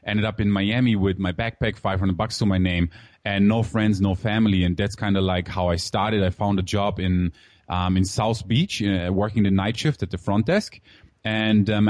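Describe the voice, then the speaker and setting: A man's voice: lowish voice, darkish tone, no room sound, studio quality. A man who grew up in Germany, in his thirties, telling his life story in a casual interview with many fillers and an open, candid way of talking. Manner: candid, filler-heavy, calls host "man". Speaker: guest entrepreneur.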